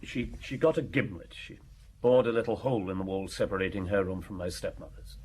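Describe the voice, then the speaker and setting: A proud, evasive stepson. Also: male voice, sits low, brisk, slightly rough. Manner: stiff and evasive, clings to gentlemanly pride. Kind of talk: radio drama acting.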